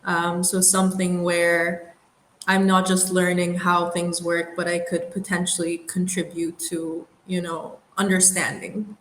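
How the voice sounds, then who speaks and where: A young woman from the Middle East, an aerospace engineer, telling her story in a thoughtful, unhurried way with light laughs. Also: lowish voice, completely flat delivery, a bit bright. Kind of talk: reflective interview storytelling. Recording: roomy with faint background noise.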